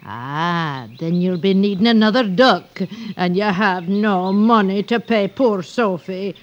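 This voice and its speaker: A woman's voice: mid-range voice, slow, natural voice. An actress playing a sly, chattering old woman selling ducks, with folksy, cajoling patter in an old-time radio drama. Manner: folksy, cajoling, sing-song. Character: wheedling, sly, chattering old street duck seller woman. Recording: muffled old radio recording.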